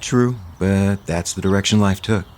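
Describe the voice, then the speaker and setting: An actor playing a gentle, earnest, considerate man in an audio soap opera. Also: low-pitched, moderate pace, a little hiss or hum, close to an everyday voice. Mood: reflective.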